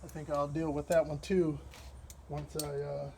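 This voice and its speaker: A man from Wisconsin with a Midwestern accent, explaining a car repair step by step in a relaxed, rambling way while he works. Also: fairly high pitch, gravelly, slight background noise. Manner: relaxed, rambling, with fillers and pauses while working. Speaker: DIY car repair host. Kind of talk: hands-on repair walkthrough.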